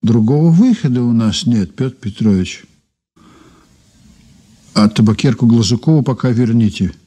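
An actor playing a composed investigator in a radio play, measured and dryly ironic. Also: male voice, medium pitch, animated, warm tone, husky. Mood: businesslike, courteous. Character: composed, wry male investigator recounting an old case. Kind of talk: radio drama dialogue and narration.

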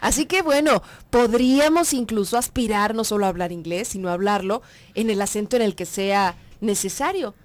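A woman's voice: mid-range voice, normal speed. Chatty Mexican woman hosting a radio show. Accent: Mexican Spanish. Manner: chatty, asking questions, greeting listeners. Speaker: radio host. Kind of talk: casual radio talk show. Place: radio studio. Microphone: studio microphone on a boom.

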